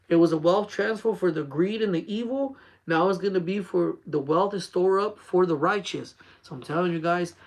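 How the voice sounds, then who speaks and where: A man in his forties hosting a casual faith talk for his channel, speaking in an earnest, repetitive, urging way. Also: on the high side. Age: 40s. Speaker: channel host.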